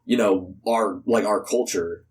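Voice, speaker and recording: A man's voice: on the low side, a little slow. A young American man giving casual, rambling interview answers with "like" sprinkled throughout. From United States. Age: young adult. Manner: rambling, informal, peppers speech with "like". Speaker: podcast interview guest.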